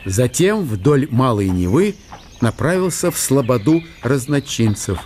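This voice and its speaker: A man narrating a literary radio play in a measured, storytelling manner. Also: mid-range voice, big pitch swings, darkish tone, slight background noise.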